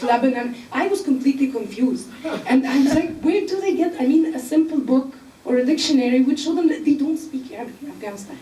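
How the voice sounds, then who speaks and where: A woman from Egypt speaking on a panel, explaining earnestly with filler pauses. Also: high-pitched, mellow, distant mic, a little hiss or hum. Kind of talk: panel discussion. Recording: echoey room, mic from afar.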